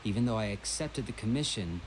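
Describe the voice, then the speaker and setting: A man's voice: on the low side, animated, a little fast, background noise, natural voice. An actor playing a polite, earnest, long-suffering young man in a video game, courteous yet exasperated. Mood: apologetic.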